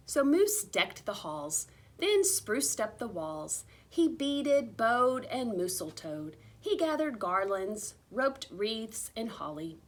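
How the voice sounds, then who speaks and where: Female storybook reader, unhurried and highly varied in pitch, with theatrical emphasis. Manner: theatrical, drawn-out emphasis on repeated words. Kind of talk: expressive picture-book read-aloud.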